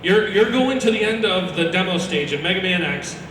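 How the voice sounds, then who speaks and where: A loud young man giving a rambling, animated, informal talk about video games to a live audience, acting out characters as he goes. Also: sits high, moderate pace, background noise. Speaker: speaker presenting a ranked list. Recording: very echoey hall, distant mic, loud music behind.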